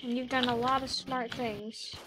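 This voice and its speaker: A woman's voice: on the high side, little pitch movement, moderate pace, noisy background. A young woman playing along in a casual gameplay video, teasing and playful, giggling between lines. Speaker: co-player.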